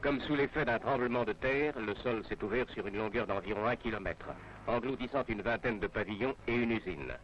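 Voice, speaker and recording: A man's voice: mid-range voice, a little fast, a bit bright, rough recording. A man narrating a scripted 1960s French newsreel in a formal announcer's manner, on a vintage film soundtrack. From France.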